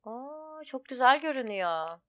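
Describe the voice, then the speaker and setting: A woman's voice: sits high, very animated, measured pace. A woman giving casual, informal let's play commentary, thinking aloud as she goes. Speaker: gaming streamer.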